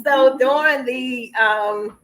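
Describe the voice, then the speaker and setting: A woman's voice: sits high, slow, over a noisy crowd, rough recording. A woman giving a personal testimony as a cast member, earnest and emphatic, repeating phrases as she talks. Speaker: stage play cast member.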